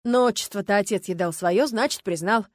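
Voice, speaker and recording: A woman's voice: medium pitch, fairly quick, no room sound, close to an everyday voice. Casting: female reader as tired, matter-of-fact policeman, dry authority, audiobook crime story character. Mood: doubtful. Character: weary, businesslike male police investigator.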